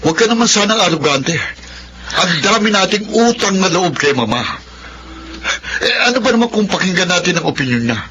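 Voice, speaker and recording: Reproachful husband, fairly high male voice, clear hiss, dull lo-fi radio drama. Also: lively intonation, brisk. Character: husband defensive of his mother.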